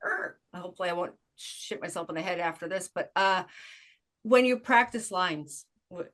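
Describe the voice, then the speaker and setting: A woman's voice: on the low side, normal speed, fairly bright tone. Informal, irreverent woman hosting a chat. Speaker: talk show host. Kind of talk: casual chat.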